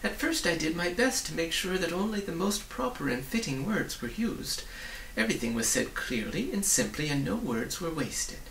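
A gentle, sorrowful old lady from a storybook, acted out by a man reading aloud. She reminisces in formal, wistful phrases, with a creaky edge. The recording is roomy and hissy. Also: on the high side, very animated, moderate pace, hardly any pauses, heavily acted. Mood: reminiscing. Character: sad, gentle old woman, a disgraced former royal official. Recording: roomy, distant mic, hissy.

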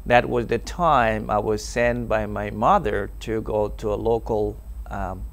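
A young man whose first language is Dinka speaks in a TV studio, telling his story earnestly. He pauses often and fills gaps with "uh" and "um". The sound is clean from a clip-on mic. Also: on the low side, fairly even intonation. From South Sudan. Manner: earnest, halting, with many fillers. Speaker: interview guest. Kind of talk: personal testimony in a TV interview. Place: TV studio set. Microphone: lapel mic.

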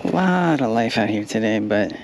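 A man's voice: fairly low pitch, normal speed. A young man fishing from a kayak, casually talking through his plan as he fishes, recorded on an action camera out on the water. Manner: relaxed, thinking aloud while fishing. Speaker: kayak angler. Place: kayak on open water outdoors. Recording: windy outdoor camera audio. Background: wind and water.

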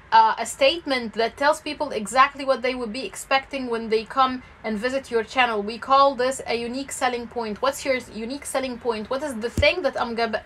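A woman's voice: high-pitched, fairly bright tone. A woman in her thirties coaching on YouTube growth as she reviews a channel over a screen recording, explaining step by step with frequent fillers and restarts.

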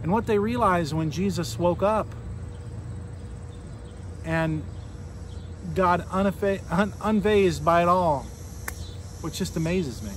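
High-voiced American pastor, man in his forties. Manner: earnest, conversational, with pauses and rhetorical questions. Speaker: Lutheran pastor. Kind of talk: informal recorded sermon. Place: outdoors beside a fallen tree near a street. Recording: outdoor noise, insects and vehicles.

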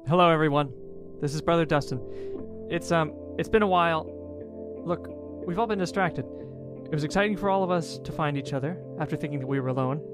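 A man's voice: on the high side, brisk, music in the background, close to an everyday voice. An actor playing an earnest, idealistic man raised in space habitats, in a science-fiction audio drama. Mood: earnest address. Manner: earnest, wondering.

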